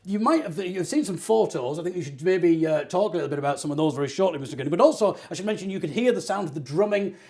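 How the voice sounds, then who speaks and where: A man's voice: high-pitched, expressive, fast, hardly any pauses, a little mellow. A man, hosting a jokey online show and telling stories about his band while laughing at himself. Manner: self-mocking, laughing often. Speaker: host, folk singer. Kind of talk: jokey livestream chat.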